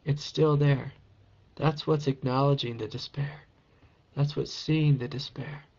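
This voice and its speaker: A man with a raspy voice, a meditation teacher answering a question in a calm, encouraging spiritual talk. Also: mid-range voice, a little slow, highs cut off. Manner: gentle, calm and encouraging, with soft laughs.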